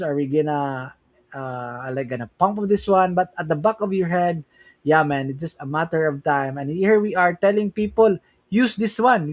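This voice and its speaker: A young man with a Filipino accent, a Tagalog speaker, hosting a casual crypto livestream, rambling with many restarts and tag questions. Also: on the high side. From Philippines. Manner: rambling, repeats "right?" and "yeah, man", frequent restarts. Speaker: crypto stream host.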